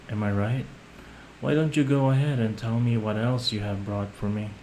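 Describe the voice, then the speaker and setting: A man playing a young mafia heir in an audio roleplay, measured and polite on the surface, condescending and manipulative underneath. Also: on the low side, a little mellow. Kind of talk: scripted audio roleplay addressed to the listener. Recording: roomy echo, some background noise.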